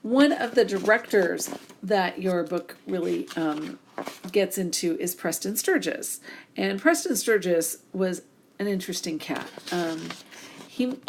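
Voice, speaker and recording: A woman with a hoarse voice from a cold, lecturing casually over slides with many fillers. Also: lowish voice, animated, slow pace, slight background noise. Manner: casual, many ums, reads slides aloud. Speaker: instructor.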